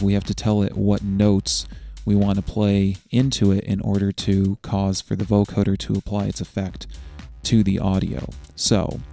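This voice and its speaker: A man narrating a step-by-step software tutorial in a methodical, explanatory way. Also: fairly low pitch, gravelly.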